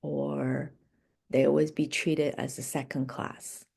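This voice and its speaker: Low-pitched woman coach with unhurried delivery. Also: flat delivery, creaky, darkish tone, breathy edge. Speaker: life and business coach. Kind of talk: motivational coaching session.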